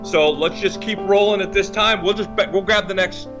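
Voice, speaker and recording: A man's voice: high-pitched, fairly even intonation, normal speed, lo-fi sound. A man talking casually on a podcast as an author and panelist. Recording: guitar music over the voice. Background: guitar music.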